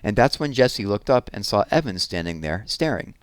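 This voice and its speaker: A man with a fairly low voice reads a children's novel aloud. He tells it expressively, stretching key words for emphasis. The recording is dry, with very little room sound. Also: animated, fairly quick, smooth, no room sound. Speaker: storyteller reading aloud.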